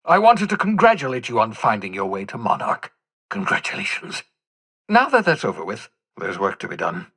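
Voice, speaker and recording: An actor playing an eccentric, vain older mad scientist in a video game, with a husky voice and a theatrical, erudite, rambling manner. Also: male voice, mid-range voice, a bit bright, exaggerated voice. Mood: brisk, wry. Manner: theatrical, erudite, rambling, swinging from mutters to exclamations. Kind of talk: video game character dialogue.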